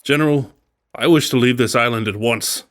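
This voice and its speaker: A man's voice: mid-range voice, normal speed, a bit bright, natural voice. An actor playing a tense, defiant man trapped as a guest, terse, firm and guarded, in an audiobook drama.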